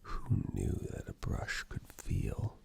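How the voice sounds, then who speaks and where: A man doing slow, intimate ASMR, soothing the listener in a breathy, husky, mostly whispered voice with lingering pauses between short phrases. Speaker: ASMR performer giving personal attention. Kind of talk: slow ASMR roleplay, relaxation guidance.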